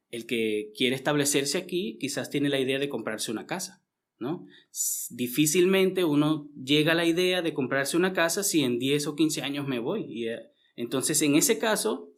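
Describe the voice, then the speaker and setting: A man from Venezuela with a Venezuelan accent, preaching a conversational sermon to his congregation. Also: on the high side. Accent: Venezuelan Spanish. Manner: conversational, frequent tag questions and restarts.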